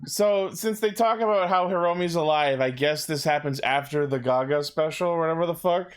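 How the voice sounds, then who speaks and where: A man's voice: on the high side, a little fast, clear, resonant tone. A man co-hosting a podcast, giving casual, mocking recaps with blunt, heavy swearing. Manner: blunt, heavily profane, mocking. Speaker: podcast co-host. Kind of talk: casual sarcastic recap commentary.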